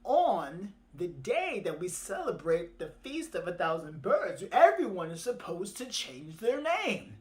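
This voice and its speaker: A woman voicing a sly, pushy male tortoise in an animated folktale, talking insistently to persuade. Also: on the low side, a little slow, few pauses. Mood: mock indignant. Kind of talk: animated oral storytelling.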